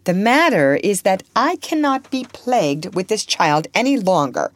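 A woman's voice: mid-range voice, big pitch swings, unhurried, no room sound, voice pushed up. A woman voicing a strict, prim guardian at the end of her patience in a storybook, fussy, indignant and emphatic. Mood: exasperated.

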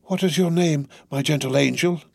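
A man reading aloud, voicing a frail, dazed old male prisoner who speaks in halting, wondering phrases. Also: fairly high pitch, vocal fry, no room sound, pitched above the performer's own voice. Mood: sorrowful. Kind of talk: audiobook character voice.

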